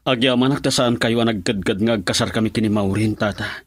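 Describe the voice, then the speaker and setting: Actor as a husky, polite young Ilocano suitor. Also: male voice, fairly low pitch, fairly even intonation, quick pace. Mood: respectful, nervous. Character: polite, hesitant young suitor addressing his sweetheart's father. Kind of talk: radio drama dialogue.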